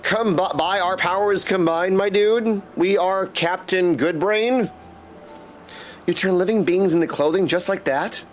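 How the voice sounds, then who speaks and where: A man's voice: high-pitched, measured pace. A man chatting casually and in a friendly way with viewers as he streams a video game. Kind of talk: casual livestream chat over gameplay. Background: music.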